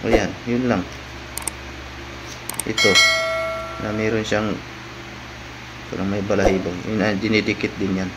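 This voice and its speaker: Low-voiced man over clear background noise. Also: little pitch movement. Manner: informal, halting, often tags phrases with "no?". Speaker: vlogger. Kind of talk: casual unboxing vlog narration.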